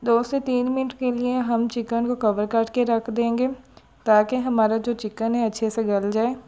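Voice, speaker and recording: A woman calmly narrating a home cooking recipe step by step as host of her cooking channel. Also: a little high, unhurried.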